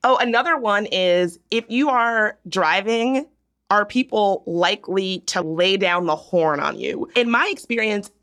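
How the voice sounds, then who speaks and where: A young woman from Virginia co-hosting a podcast, chatting casually and giving animated, opinionated takes. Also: medium pitch. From Virginia, US South. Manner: animated, opinionated, drawn-out storytelling. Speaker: podcast co-host. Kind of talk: casual chat.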